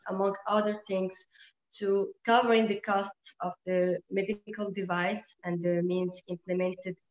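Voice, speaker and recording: A woman in her twenties speaks with formal, measured care, as if presenting a prepared report. Her voice sits at a middling pitch. It comes through a dull, echoey internet connection. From Morocco. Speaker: student leader, webinar panelist. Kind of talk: prepared briefing on a webinar.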